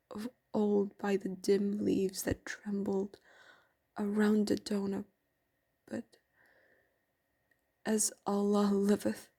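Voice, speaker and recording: A woman narrating an audio drama in a hushed, breathy, near-whispered way. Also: mid-range voice.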